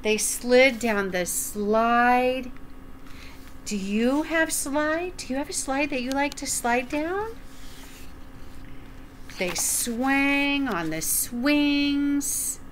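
Older female storyteller for a picture book, slightly high-pitched, very unhurried, home webcam sound with some background noise. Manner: deliberate, enunciated, asking the child questions. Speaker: storyteller reading a children's picture book aloud. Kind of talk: read-aloud for young children with asides. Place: small room at home, indoors.

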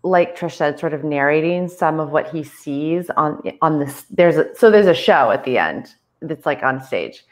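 A woman's voice: low-pitched, expressive, normal speed, hardly any pauses. A woman talking casually as a theatre artist on a panel, speaking over a video call from a room at home.

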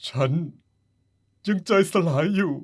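A man's voice: slow, close to an everyday voice. A man playing a sulky, theatrical middle-aged father and doting husband in an audio drama, whiny and teasing. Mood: sulky, wounded. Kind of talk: Thai audio drama acting.

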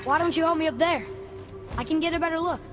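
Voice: very high-pitched, quick pace, loud music behind the voice. A performer playing an earnest, inquisitive young boy, a warrior's son, in a cinematic video game. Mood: curious, eager. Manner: inquisitive, earnest, plain short questions.

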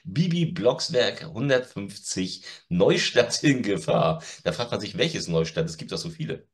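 Low-pitched middle-aged man, wry and chatty. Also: animated, a little slow. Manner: wry, joking. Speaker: co-host of an audio drama news show. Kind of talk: casual chat. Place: room at home.

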